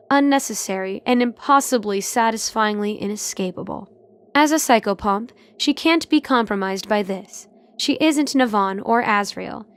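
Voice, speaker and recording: A woman's voice: on the high side, clear, resonant tone. A woman reading scripted narration for an audio drama.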